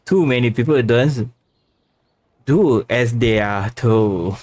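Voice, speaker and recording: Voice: mid-range voice, takes it slowly, darkish tone. A game streamer casually reading dialogue aloud and murmuring reactions on a livestream. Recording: game music behind the voice.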